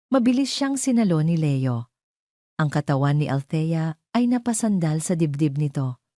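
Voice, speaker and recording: A woman's voice: low voice, dark tone, no room sound, clean studio sound. A woman with a Filipino accent narrating a scripted dramatic story.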